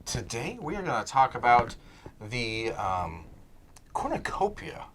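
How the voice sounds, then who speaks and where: Lively man with noisy background. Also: mid-range voice, measured pace. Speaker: co-host of a video channel. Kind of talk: casual chat. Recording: noisy recording with clicks.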